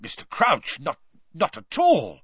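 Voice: medium pitch, sing-song, moderate pace, dry recording, highs cut off, pitched above the performer's own voice. A narrator playing a hot-tempered middle-aged male wizard official who blusters and hectors in a growling manner. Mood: flustered.

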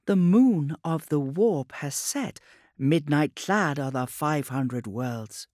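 Man as a high, cryptic puppet servitor. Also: expressive, takes it slowly, darkish tone, no echo, clean studio sound. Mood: fearful, ominous. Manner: cryptic, portentous phrasing. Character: cringing, servile puppet servitor voicing an ancient artifact.

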